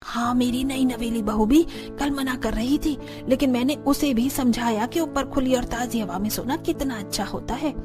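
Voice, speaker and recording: A woman's voice: fairly high pitch, normal speed, over music, natural voice. A woman voicing an older, stubborn and traditional village mother-in-law in a cartoon, firm and insistent. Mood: self-satisfied.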